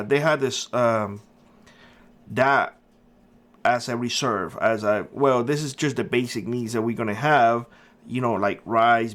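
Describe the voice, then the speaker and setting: A middle-aged man from Cuba hosting a prepper channel, talking earnestly and emphatically in a casual monologue with frequent fillers. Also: mid-range voice. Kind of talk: casual opinion monologue to camera.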